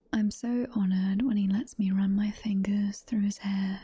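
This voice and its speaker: A woman's voice: medium pitch, dark tone, breathy edge, no echo. A British woman playing a doting, possessive demon girlfriend in an intimate ASMR roleplay, whispering and cooing in a motherly way. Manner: whispered, slow, intimate cooing with pet names. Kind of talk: soft-spoken ASMR roleplay.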